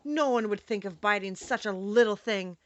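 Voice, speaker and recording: A woman's voice: medium pitch, moderate pace, no echo, muffled recording, close to an everyday voice. A woman reading aloud and voicing a big male lion who is a sorrowful coward, in a plaintive, self-pitying manner. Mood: mournful. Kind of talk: audiobook character reading.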